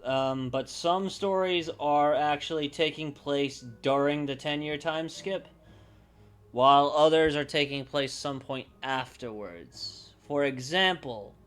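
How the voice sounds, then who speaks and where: A man livestreaming casually, thinking aloud in a halting, unscripted way as he works through ideas. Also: a little high, a bit bright, clean tone, faint music behind. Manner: halting, pausing to think and correct himself. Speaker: livestreamer brainstorming a roleplaying campaign.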